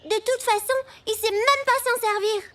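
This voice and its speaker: A woman voicing an earnest, expressive young schoolgirl in a French children's cartoon. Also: very high-pitched, moderate pace. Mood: defensive. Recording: music behind the voice.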